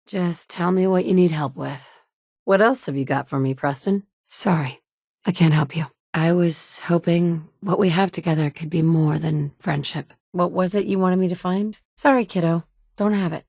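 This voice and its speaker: A woman's voice: fairly low pitch, a little mellow, dry recording, clean studio sound. An actress voicing a blunt, wry woman survivor in a video game, plain-spoken and direct, by turns tender and curt. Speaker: player character. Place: recording studio.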